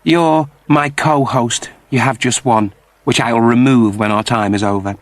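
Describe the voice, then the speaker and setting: A man's voice: mid-range voice, fairly even intonation, unhurried, dry recording, voice pushed up, lightly acted. A man voicing a submissive but proud shapeshifting alien in a sci-fi story, speaking formally and earnestly. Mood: solemn.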